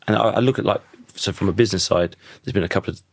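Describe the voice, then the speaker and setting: Casting: croaky man in his thirties, low voice, thoughtful and halting, dry close-miked sound. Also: no room sound. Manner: thoughtful, restarts sentences often. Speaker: podcast guest. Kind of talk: reflective conversational interview.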